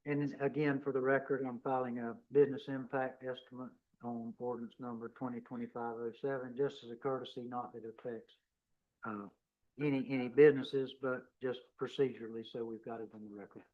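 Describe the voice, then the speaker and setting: A man, the county's attorney, stating formal procedures and oaths at a public meeting in a measured way. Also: medium pitch, little pitch movement. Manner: measured, formal, trails off quietly. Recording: dull meeting audio.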